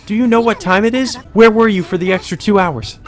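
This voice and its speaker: A man's voice: high-pitched, a little fast, soft background music, pitched above the performer's own voice, close to an everyday voice. A man voicing a stern young woman who fusses and scolds, in a visual novel. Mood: scolding, worried. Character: stern, scolding young women fussing over a housemate.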